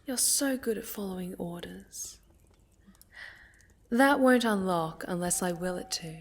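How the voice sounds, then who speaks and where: A woman playing a sinister, seductive necromancer villain in a fantasy ASMR roleplay, soft-spoken and half-whispered, with menacing, deliberate delivery. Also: mid-range voice, animated. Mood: seductive, commanding. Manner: slow, deliberate, menacing, savoring pauses.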